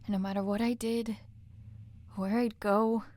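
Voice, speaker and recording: A woman's voice: mid-range voice, level tone, unhurried, a little mellow, natural voice. An actress playing a troubled, guilt-ridden but determined woman in a video game drama, speaking in an earnest, hesitant way. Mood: anguished, determined.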